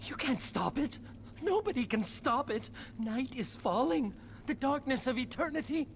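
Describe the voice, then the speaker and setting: A man's voice: extremely high pitch, expressive, a little slow, a little hiss or hum, full character voice. A man playing an unhinged, feverish research assistant in a video game, prophesying doom in a theatrical, portentous manner. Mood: despairing. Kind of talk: dramatic video game dialogue. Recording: loud game music under dialogue. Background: music.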